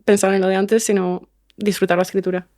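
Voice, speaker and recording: Woman, mid pitch, monotone delivery, podcast guest, casual talk. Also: quick pace. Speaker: guest, writer of audio fiction.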